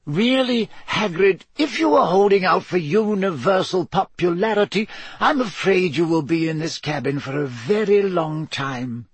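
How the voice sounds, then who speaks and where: Man putting on a wry elderly headmaster, raised lilting voice, dry ironic delivery, husky edge, dry close sound. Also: high-pitched, expressive, normal speed, few pauses, dry recording, pitched above the performer's own voice. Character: elderly male headmaster, wry and gently stern.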